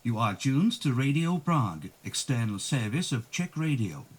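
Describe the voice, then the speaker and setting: A man reading scripted station announcements and schedules as a radio announcer, in a formal, measured way with careful enunciation. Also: medium pitch, sing-song, noisy background. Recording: muffled 1990s shortwave radio archive recording.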